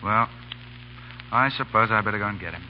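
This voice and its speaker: An actor playing a brash, impatient army lieutenant, blunt and sarcastic, in a 1930s radio drama. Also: male voice, on the low side, brisk, close to an everyday voice. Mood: grumbling. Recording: dull 1930s radio recording.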